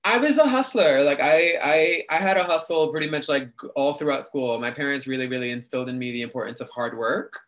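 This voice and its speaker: A man's voice: mid-range voice, fairly quick, hardly any pauses, a bit bright. Chatty young New man. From New York City. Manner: talkative, chatty with frequent fillers. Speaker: guest. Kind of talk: casual interview chat.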